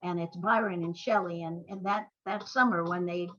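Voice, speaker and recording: A woman's voice: on the low side, steady tone, normal speed, fairly bright tone, lo-fi sound. An older woman, a playwright guest on an interview podcast, telling anecdotes in a rambling, enthusiastic way.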